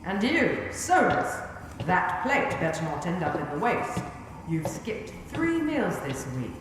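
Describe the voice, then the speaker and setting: A low-voiced, weary female colonel speaks with grave, clipped authority in a sci-fi radio play. Her pace is slow and deliberate, ringing through a noisy, echoing hall. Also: very animated. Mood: grave, commanding. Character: stern, weary female rebel colonel, commanding and principled. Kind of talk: sci-fi audio drama acting. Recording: echoey hall, clear background noise.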